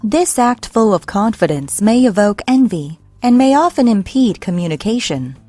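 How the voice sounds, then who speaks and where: A woman's voice: mid-range voice, expressive, moderate pace, dark tone, dry recording. A woman reading a scripted explainer narration in a formal, read-out manner. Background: background music.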